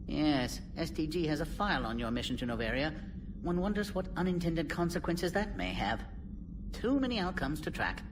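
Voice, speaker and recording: Clinical alien scientist with swooping pitch. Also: male voice, sing-song, moderate pace. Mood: measured, musing. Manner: clipped, precise, academic phrasing. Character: brisk, clinical alien scientist, dryly musing. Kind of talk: video game voice acting. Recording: distant voice over clear background noise.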